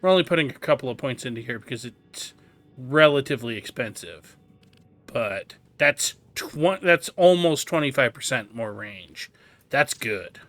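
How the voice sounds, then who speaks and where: A man's voice: mid-range voice, lively intonation, moderate pace, a bit bright. A man giving a casual game walkthrough, explaining things as he goes and thinking aloud. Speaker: gameplay guide host.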